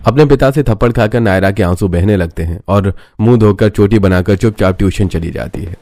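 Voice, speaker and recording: A man's voice: fairly low pitch, fairly quick, a little mellow, no echo. A man reading scripted narration as the narrator of a story.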